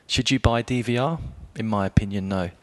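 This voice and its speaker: A man with a fairly low voice presents a scripted product review. He speaks in a measured, explanatory way and addresses the listener directly, as a blogger giving advice would.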